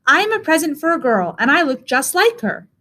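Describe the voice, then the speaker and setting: A woman's voice: sits high, big pitch swings, fairly quick, pushed and bright, full character voice. A woman voicing a self-important girl doll who sounds like a bossy older sister, self-assured and proud. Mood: haughty.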